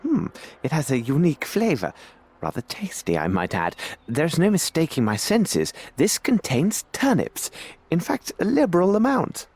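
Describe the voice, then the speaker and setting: Casting: British gentleman sleuth for a game, composed, authoritative, slightly husky, very varied intonation. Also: fairly high pitch, wildly swooping pitch, normal speed, exaggerated voice. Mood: confident. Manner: formal, authoritative, precise. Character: sharp, composed gentleman detective, self-assured and inquisitive. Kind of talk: video game voice acting.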